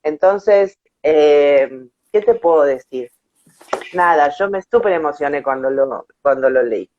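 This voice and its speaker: A woman's voice: low voice, lively intonation, unhurried. A woman hosting an interview, effusive and repeating words for emphasis, over a lo-fi internet call. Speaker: host of an online interview show. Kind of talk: warm personal introduction and interview chat.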